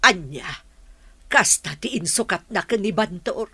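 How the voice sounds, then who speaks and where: An actress playing a disapproving, inquisitive older village mother with a husky voice in a radio drama. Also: mid-range voice, expressive, measured pace, sharp tone, no echo, full character voice. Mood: questioning, indignant.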